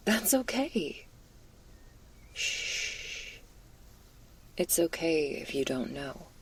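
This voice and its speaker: A woman playing a laid-back, teasing but caring stoner werewolf girl in an intimate ASMR roleplay, breathy and often near a whisper, with casual crude slang. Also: sits low, a little mellow, heavily acted.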